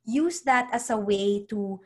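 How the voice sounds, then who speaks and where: A woman's voice: medium pitch, measured pace, a little mellow, breathy edge. A woman for whom English is a second language, a psychologist and author coach giving advice as an interview guest, earnest and often repeating words as she finds her phrasing. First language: not English.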